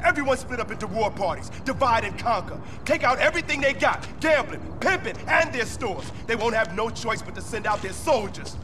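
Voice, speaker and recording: A man's voice: extremely high pitch, expressive, brisk, hardly any pauses. A man playing a determined street gang warlord in a video game, commanding, streetwise and urgent. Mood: resolute. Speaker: gang leader. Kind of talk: gritty video game cutscene acting. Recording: game dialogue over music.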